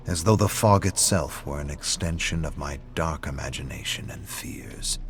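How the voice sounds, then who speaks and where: Deep male voice, grave brooding storyteller, measured theatrical narration, dark game lore, music behind. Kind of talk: dramatic scripted narration.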